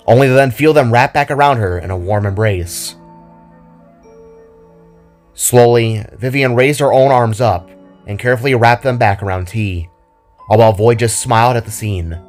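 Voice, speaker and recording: A man reading scripted fiction as a dramatic storyteller. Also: medium pitch.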